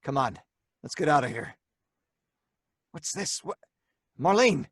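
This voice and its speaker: A man's voice: a little high, sing-song, a little slow, breathy edge, dry recording, clean studio sound, heavily acted. A man playing a young condemned crook, narrating his own story with wry, sardonic delivery in an old-time radio drama. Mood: alarmed.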